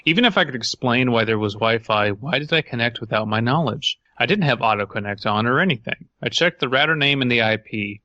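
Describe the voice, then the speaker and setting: A man's voice: medium pitch, lively intonation, brisk, hardly any pauses, bright, forceful tone, no room sound, dull audio. A man hosting a podcast, chatting casually and reading a story aloud with dry, skeptical asides. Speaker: podcast host.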